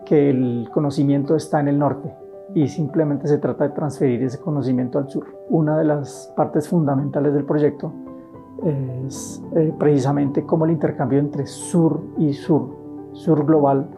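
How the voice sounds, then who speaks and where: A woman's voice: sits low, fairly even intonation, unhurried, music in the background. A woman explaining ideas in a considered documentary interview style.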